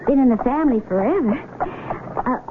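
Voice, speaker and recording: A woman's voice: sits high, animated, moderate pace. An actress playing a friendly, chatty country girl of about twenty in a radio drama. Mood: pleased, chatty. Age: young adult. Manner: bright, neighborly chatter. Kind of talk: 1970s radio drama acting. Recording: noisy, muffled old radio recording.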